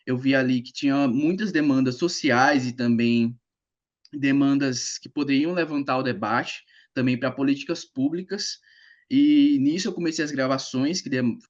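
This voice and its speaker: A man's voice: mid-range voice, dull audio. A man in his twenties with a Brazilian accent, informally presenting his project over an internet video call. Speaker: presenter, journalism graduate.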